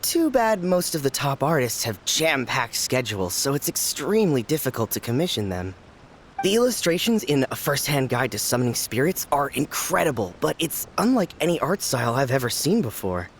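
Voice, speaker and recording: An animated bookish game townsman. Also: medium pitch, normal speed, slight background noise. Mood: explaining. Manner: earnest, explaining. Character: opinionated male light-novel reader. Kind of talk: video game voice acting.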